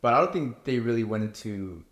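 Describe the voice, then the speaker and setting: A man's voice: mid-range voice, a little fast, reverberant. A young man from Korea answering interview questions candidly and casually, with plenty of "like" and "you know". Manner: candid, relaxed, many fillers like "you know" and "like". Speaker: reality show contestant.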